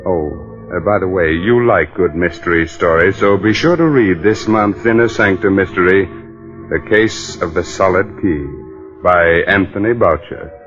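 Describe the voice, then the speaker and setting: Dull vintage broadcast with music. Male mystery-show host, low voice, theatrical, confiding, scripted 1940s delivery. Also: a little slow, a bit bright. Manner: theatrical, confiding direct address. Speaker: program host and pitchman. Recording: muffled old radio broadcast recording.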